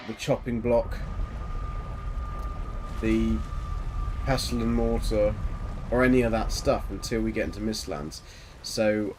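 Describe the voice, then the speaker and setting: A man's voice: on the low side, a little hiss or hum. A man, chatting casually to viewers while he plays and mutters to himself. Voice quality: soft voice. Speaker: game streamer. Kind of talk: relaxed live gameplay commentary.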